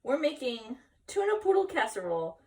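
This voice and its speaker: A young girl kitten from a picture book, voiced by a woman reader. She is chatty, silly and teasing, with a highish, animated voice. It is recorded from a little distance. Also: normal speed.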